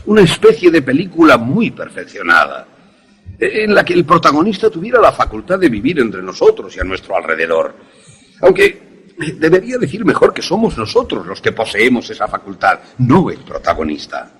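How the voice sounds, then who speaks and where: Man acting courteous, theatrical older professor, very animated. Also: mid-range voice, moderate pace, slight background noise. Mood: courteous, explanatory. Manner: formal, theatrical, hesitant repetitions. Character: courteous, eloquent older professor, inventor of ghostly illusions. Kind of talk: Spanish-language suspense radio drama acting.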